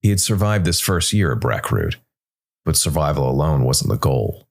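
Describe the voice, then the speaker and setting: A man reads scripted dark fantasy narration in a measured way, with dramatic pauses between short phrases. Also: very deep voice, sing-song, vocal fry. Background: background music.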